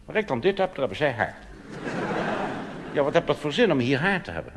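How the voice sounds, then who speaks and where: A Dutch cabaret comedian performing a blustering, pompous reactionary army officer in a rambling stage monologue. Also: male voice, fairly high pitch, big pitch swings, fairly quick. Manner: pompous, rambling, tag questions. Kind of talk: stand-up cabaret monologue in character. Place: theater stage before a live audience. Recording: live stage recording with hall reverb and hiss.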